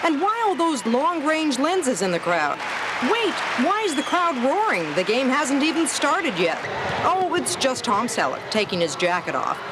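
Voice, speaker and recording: A woman's voice: sits high, sing-song, measured pace, a loud crowd, very noisy. A woman with an American accent narrating a feature news report in a playful, wry way.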